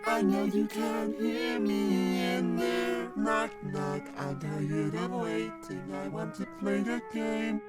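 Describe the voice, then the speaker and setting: A woman's voice: medium pitch, takes it slowly, few pauses, close to an everyday voice. A woman voicing a taunting, predatory childlike horror stalker, sing-song and menacing, drawn out over music. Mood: playful menace. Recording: loud music under the voice. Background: loud music.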